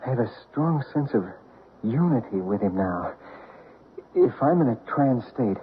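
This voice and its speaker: A man's voice: mid-range voice, animated, measured pace, lightly acted. An actor playing an earnest, intense man who is sensitive to spirits, in an old radio drama. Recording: narrow, noisy old radio recording.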